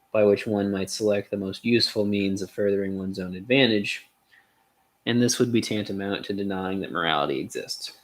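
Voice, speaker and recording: A man reading a philosophical essay aloud as a streamer, with frequent hesitations and off-the-cuff asides. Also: lowish voice.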